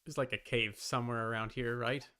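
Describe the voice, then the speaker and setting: A man's voice: mid-range voice, fairly even intonation, a little fast, pushed and bright. A man livestreaming a video game, casually commenting and grumbling to himself as he talks to his chat. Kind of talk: casual gaming stream commentary, talking to chat. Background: music.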